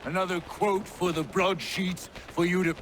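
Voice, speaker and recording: A man playing a bitter, wary colonial doctor in a video game, speaking in a sardonic, deliberate way. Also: high voice, level tone, fairly bright tone, a little hiss or hum. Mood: bitter, suspicious. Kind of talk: video game cutscene acting.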